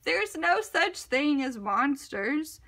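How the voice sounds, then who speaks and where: A woman voicing a cheerful, confident, skeptical rabbit from a picture book, brisk and expressive. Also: sits high, exaggerated voice. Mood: amused, skeptical.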